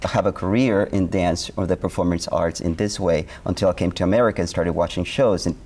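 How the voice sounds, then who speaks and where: Man, low voice. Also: steady tone, brisk, darkish tone. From Honduras. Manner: earnest, with frequent fillers and restarts. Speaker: dance company artistic director. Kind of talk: studio TV interview guest. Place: TV studio.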